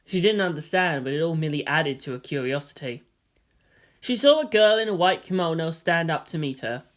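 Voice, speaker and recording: A woman's voice: low voice, animated, fairly quick. A woman narrating a scripted story as its reader.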